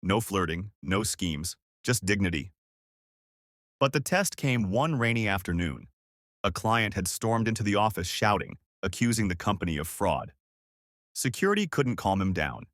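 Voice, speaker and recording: A man narrates a scripted story with a dramatic, measured delivery. His pitch moves with plenty of animation from phrase to phrase. The sound is dry, with no room around the voice. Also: lively intonation, fairly bright tone, dry recording.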